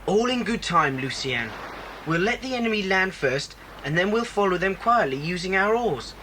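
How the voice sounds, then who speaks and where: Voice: medium pitch, animated, moderate pace, a little hiss or hum, low-quality recording. A performer playing a confident, plucky boy in a children's adventure drama. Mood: confident, reassuring. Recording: cassette drama with loud sound effects.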